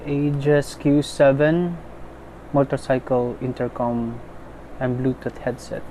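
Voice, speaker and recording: A man's voice: mid-range voice, flat delivery, takes it slowly, mellow. A man giving casual, unscripted unboxing commentary, thinking aloud in a tentative way. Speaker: unboxing video maker. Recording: clearly noisy background.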